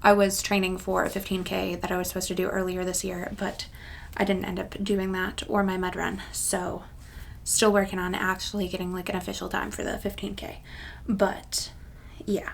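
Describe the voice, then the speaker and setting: A woman's voice: medium pitch, completely flat delivery, normal speed, creaky, a little hiss or hum. A woman of about thirty-one talking casually to her viewers in a rambling, self-deprecating way. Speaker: craft channel vlogger. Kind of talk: casual chatty vlog to camera.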